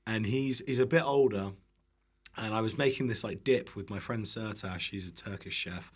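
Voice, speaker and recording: A man's voice: on the low side, moderate pace, husky. A British man telling casual anecdotes as a guest, in a self-deprecating way with some casual swearing. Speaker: guest, internet cooking creator.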